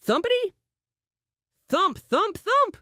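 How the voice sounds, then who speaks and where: An excitable little storybook dog, acted by a woman, eager and devoted to his owner. The voice jumps up high and swoops around with wide-eyed exclamations. It sounds dry and close. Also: takes it slowly, no echo, heavily acted. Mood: anxious.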